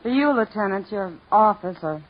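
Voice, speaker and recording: An actress playing a world-weary, sardonic married theater woman in a 1950s radio crime drama, tart and evasive, with a husky voice. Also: medium pitch, animated, moderate pace, close to an everyday voice. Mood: tart, guarded. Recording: muffled old radio recording.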